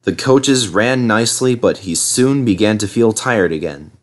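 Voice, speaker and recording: A man reading a children's story aloud as an expressive narrator. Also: on the low side, moderate pace. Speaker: storybook reader.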